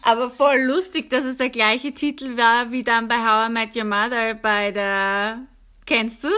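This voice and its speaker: Bright, higher-voiced woman interviewer. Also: fairly even intonation, a little slow. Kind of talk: casual interview questions.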